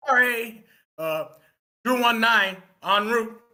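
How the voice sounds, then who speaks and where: Man reading a terse, sharp-edged police officer. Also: very high-pitched, takes it slowly, heavily acted. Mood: businesslike. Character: intelligent 47-year-old firearms officer, sullen, terse, second fiddle. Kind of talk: screenplay table read.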